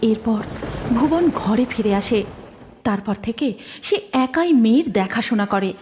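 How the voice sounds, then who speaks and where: A woman's voice: fairly high pitch, expressive, moderate pace, a little mellow, slight background noise. A woman narrator reading a scripted dramatic story. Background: music.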